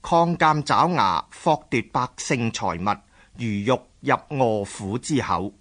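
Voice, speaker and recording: Slow, measured Cantonese male narrator. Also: mid-range voice, expressive, fairly bright tone. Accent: Hong Kong Cantonese. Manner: measured, storytelling. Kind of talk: scripted historical radio drama narration.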